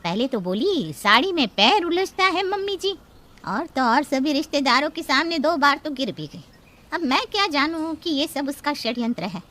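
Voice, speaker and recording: Woman putting on gossipy old mother-in-law, animated. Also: sits high, normal speed, voice pushed up. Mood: aggrieved. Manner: dramatic griping. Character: gossipy, exasperated old mother-in-law complaining to her friend. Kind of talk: animated cartoon story acting.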